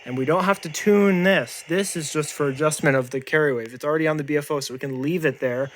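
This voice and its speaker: A man giving an unscripted show-and-tell as an amateur radio hobbyist, halting and often repeating and rephrasing himself. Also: a little high, slight background noise. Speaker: amateur radio hobbyist demonstrating homemade gear.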